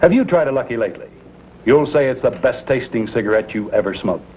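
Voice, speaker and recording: An American man delivering an emphatic, folksy 1950s advertising pitch as a commercial announcer. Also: animated, fast, slight background noise. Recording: tinny, narrow 1950s broadcast recording.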